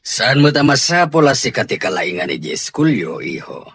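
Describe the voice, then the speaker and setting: A man's voice: mid-range voice, sing-song, moderate pace, fairly bright tone, breathy edge, no room sound, exaggerated voice. A man playing a stern, insistent father in an audio drama, firm and commanding, with an Ilocano Filipino accent.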